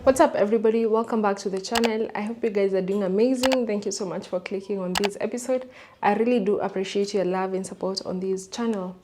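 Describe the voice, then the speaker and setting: A woman hosts her channel in a relaxed, chatty way. Her pitch sits in an ordinary middle range. She stops often on small fillers while she gathers her thoughts. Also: quick pace, background noise. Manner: chatty, with frequent fillers like "uh" and "you know". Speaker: channel host. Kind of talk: casual reaction commentary.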